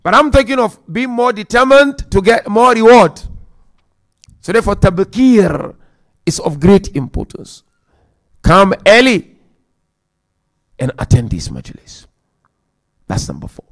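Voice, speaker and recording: Guy giving a sermon at a mourning gathering, kinda high and gravelly, loud then quiet. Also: very animated. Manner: counts points aloud, chants Quran verses quickly; swings between loud emphasis and quiet asides. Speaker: Muharram majlis speaker. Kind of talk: religious lecture.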